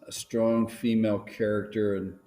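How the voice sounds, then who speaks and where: Low-voiced rambling filmmaker guest. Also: male voice, moderate pace, a little hiss or hum. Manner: relaxed, rambling, many ums and restarts. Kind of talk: casual live-stream chat.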